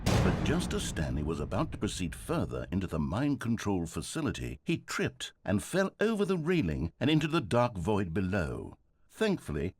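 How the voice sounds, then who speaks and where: An actor playing a wry, theatrical storybook narrator in a video game. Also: male voice, on the low side, a little mellow, husky, heavily acted. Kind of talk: scripted narration.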